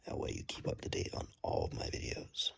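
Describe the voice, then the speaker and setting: A man whispering casually to viewers as an ASMR creator, self-deprecating, recorded on a phone's built-in microphone. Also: a little fast. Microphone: phone, no external microphone.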